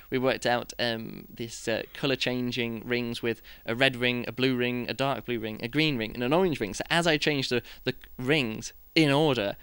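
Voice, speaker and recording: A man with a clean, smooth voice talks casually as a podcast host. He rambles and hesitates, often starting his sentences over. The sound is dry, with very little room to it. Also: medium pitch, a bit bright, no room sound. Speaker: podcast host and juggling performer. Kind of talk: casual podcast chat, reviewing his year.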